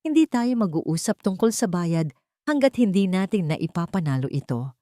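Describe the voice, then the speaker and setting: A calm, sincere male lawyer from a dramatic story, voiced by a woman with a Filipino accent. He speaks in a measured, businesslike but gentle way, recorded dry. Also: fairly low pitch, no room sound. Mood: calm, reassuring.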